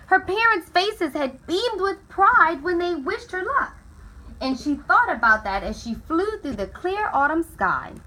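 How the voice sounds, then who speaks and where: A woman's voice: very high voice, sharp tone, low-quality recording. A woman reading a children's picture book aloud expressively, drawing out key words for dramatic effect. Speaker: storybook reader.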